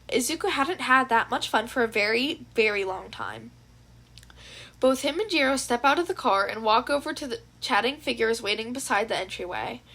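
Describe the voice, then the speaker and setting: High-voiced woman narrating a story. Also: fairly bright tone. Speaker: fan fiction narrator. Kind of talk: scripted narration, audiobook reading.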